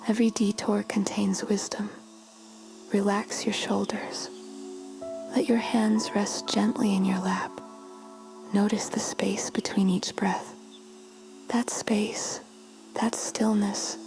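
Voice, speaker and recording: A woman in a whisper, guiding a meditation in a slow, soothing way. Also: mid-range voice. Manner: slow, soothing, flat delivery. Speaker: meditation guide. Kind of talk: guided meditation. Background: music.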